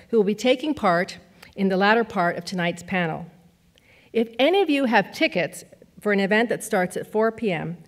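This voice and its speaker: A woman hosting a literary event on a theatre stage, reading housekeeping announcements and a speaker introduction from a script. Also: mid-range voice. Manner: brisk, reads from a prepared script. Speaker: event host.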